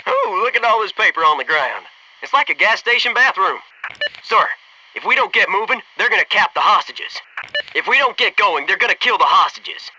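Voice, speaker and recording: A man's voice: high voice, sing-song, normal speed, pushed and bright, slight background noise, exaggerated voice, poor audio. A man voicing a brash, folksy special-forces soldier in a military shooter game, sarcastic and punchy in his delivery. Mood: wry, focused. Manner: punchy, sarcastic wisecracks and urgent squad callouts.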